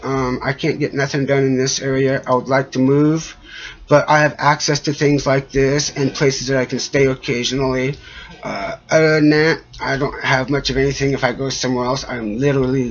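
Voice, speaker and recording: A man speaking in a halting, aggrieved way, rambling through a personal complaint with a husky voice. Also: medium pitch, flat delivery. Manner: halting, frequent trailing pauses, aggrieved. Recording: dull lo-fi audio.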